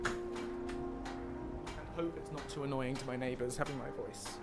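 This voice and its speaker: A man's voice: mid-range voice, moderate pace. A man livestreaming casually over a game, chatting and thinking aloud as he plays. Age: younger than 50. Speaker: livestreamer, mod creator and voice actor. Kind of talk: casual livestream chat while playing a game. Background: video game music and character dialogue.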